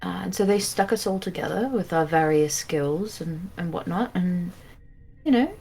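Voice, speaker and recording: A woman's voice: on the low side, moderate pace, creaky, warm tone, slightly rough, faint music behind. A woman playing a tabletop game, speaking politely and a little hesitantly in character. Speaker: player.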